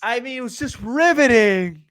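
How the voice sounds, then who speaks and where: A man's voice: very high voice, lively intonation, moderate pace, a bit bright, dry recording, studio quality. A man co-hosting a sports podcast, giving casual, opinionated and emphatic commentary, often stumbling over repeated words.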